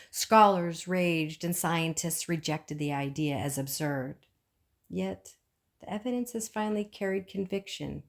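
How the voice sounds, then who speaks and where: A woman reading a book aloud as scripted narration, with measured phrasing and frequent pauses. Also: low voice. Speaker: audiobook reader.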